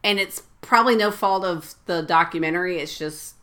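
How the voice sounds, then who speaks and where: A woman's voice: lowish voice, a little fast, fairly bright tone. A woman co-hosting a podcast in casual chat, teasing her friend and giggling often. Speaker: podcast co-host. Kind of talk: casual chat about movies and shows.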